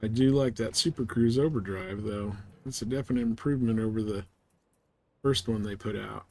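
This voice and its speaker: A man's voice: on the low side, moderate pace, a little mellow. A man giving casual live commentary as he plays a game, thinking aloud as he goes. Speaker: game streamer.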